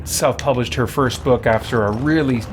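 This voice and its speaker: Male livestream host, medium pitch, casual and halting gameplay chat, noisy recording. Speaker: video game livestream host. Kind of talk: casual gameplay chat, answering viewer questions. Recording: clearly noisy background.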